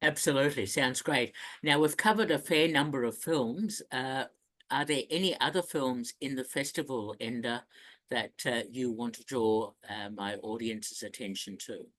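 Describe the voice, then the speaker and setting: Male interviewer, average pitch, short friendly prompts to his guest. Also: normal speed, a bit bright.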